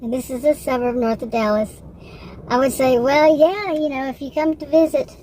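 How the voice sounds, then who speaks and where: A woman with a Texan accent and a fairly high voice talks off the cuff in a casual personal vlog. She rambles and halts, circling back to correct herself. There is clear background noise on the recording. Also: fairly even intonation, moderate pace, warm tone, low-quality recording. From Texas, United States. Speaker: vlogger.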